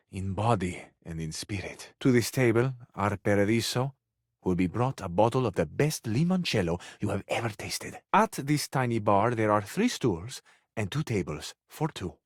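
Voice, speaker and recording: A man's voice: on the low side, no echo, clean studio sound. A man voicing a flamboyant, hopelessly romantic Italian charmer in a video game, with an Italian accent and a florid, amorous delivery that savors each phrase. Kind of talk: theatrical video game character acting.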